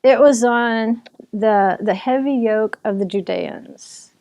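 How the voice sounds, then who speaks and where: Mellow-voiced woman teaching in easy chat. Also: a little high, takes it slowly. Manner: chatty, with restarts and occasional laughter. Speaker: author and Bible teacher. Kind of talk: relaxed conversational teaching.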